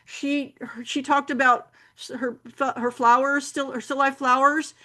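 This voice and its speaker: Woman, club host, fairly high voice, meandering announcements full of fillers. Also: little pitch movement, normal speed, pushed and bright. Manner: rambling, many fillers and restarts. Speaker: camera club meeting host.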